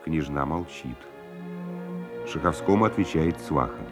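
A man's voice: extremely low pitch, lively intonation, measured pace, music in the background. A man giving scripted narration of stage action in a radio play.

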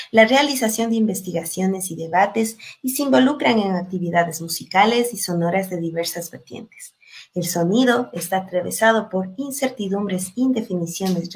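A woman moderating an online meeting on a video call. She greets the audience, reads introductions and talks in a friendly way with many small fillers. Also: medium pitch. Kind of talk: moderating a virtual panel, reading introductions.

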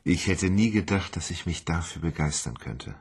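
A man's voice: low-pitched, expressive, normal speed, darkish tone, husky, exaggerated voice. An actor playing a shy, lonely man who has fallen for his phone, talking dreamily and timidly. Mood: dreamy. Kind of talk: radio drama acting. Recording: music playing underneath.

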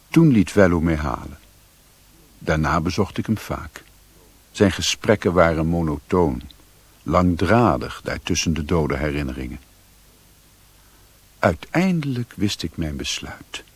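A reflective adventurer tells his tale in a radio play. The voice is very deep and husky, and it moves through a wide range of intonation. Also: full character voice. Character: reflective adventurer recounting his own story. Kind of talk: scripted radio drama narration.

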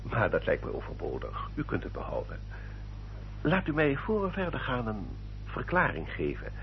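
An actor playing a courteous, self-justifying English baronet in a radio drama, with a formal, polished and elaborately polite manner. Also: male voice, medium pitch, wildly swooping pitch, normal speed. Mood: suave, explaining. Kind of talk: old radio drama acting. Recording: dull old radio recording, faint hum.

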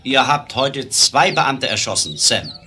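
Stern western lawman, animated delivery. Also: mid-range voice, a little slow, slight background noise, full character voice. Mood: insistent, sober. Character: stern, commanding western lawman questioning an outlaw. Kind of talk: German 1970s western radio play acting.

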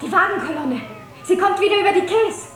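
A woman's voice: very high voice, lively intonation, a little fast, music in the background. An actress playing an anxious, pleading woman in a radio drama, speaking with urgent emotion. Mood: puzzled, urgent. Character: anxious, pleading woman, fearful for a young man.

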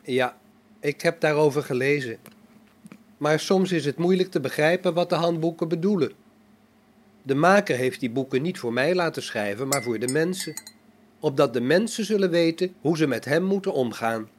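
An amateur actor in a radio play as a calm, pious robot, a man speaking in a measured, solemn, preachy manner. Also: fairly high pitch. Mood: polite, serene.

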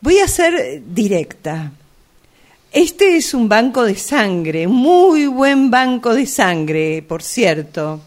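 A woman with an Argentine accent playing an earnest, stubborn, absurd customer in an amateur radio play, speaking haltingly. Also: a little high, sing-song. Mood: hesitant, earnest. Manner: hesitant, halting delivery.

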